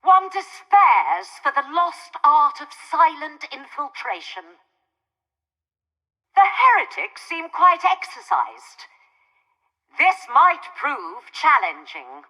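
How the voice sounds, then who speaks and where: An imperious, aristocratic woman who commands a starship in a video game. Her high, bright voice sweeps widely in pitch as she delivers formal, coolly sardonic remarks with scornful poise. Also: big pitch swings, takes it slowly, pauses often, in a large hall, full character voice, low-quality recording. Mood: composed, commanding. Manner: haughty, formal, dryly sardonic. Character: haughty aristocratic female starship mistress, coolly disdainful. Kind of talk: scripted video game dialogue.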